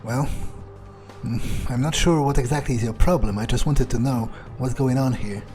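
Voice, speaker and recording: A cynical, self-serving vampire, roleplayed by a male gamer, musing aloud through a video game. His pitch is middling and his manner hesitant. Faint music sits behind him. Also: normal speed, close to an everyday voice. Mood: casual, probing. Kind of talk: in-character game playthrough, thinking aloud.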